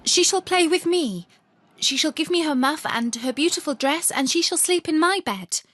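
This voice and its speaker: A woman's voice: sits high, expressive, normal speed, fairly bright tone, dry recording, voice pushed up. A woman plays a young robber girl from a storybook, fierce yet fond. The voice is raised a little and imperious, emphatic on every order, in a dry recording. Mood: demanding. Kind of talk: children's fairy tale audiobook.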